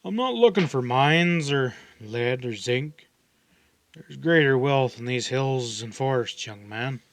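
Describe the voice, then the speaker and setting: Man reading as a measured older gentleman. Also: medium pitch, animated. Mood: kindly. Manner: measured, formal, musing. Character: weary older gentleman stranger, educated, reserved and kindly. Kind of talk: audiobook character dialogue.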